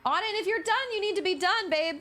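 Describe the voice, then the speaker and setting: A woman's voice: extremely high pitch, fast, bright, forceful tone, faint music behind. A woman streamer chatting casually at home while playing a game live, thinking aloud and restarting her sentences often. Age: adult parent.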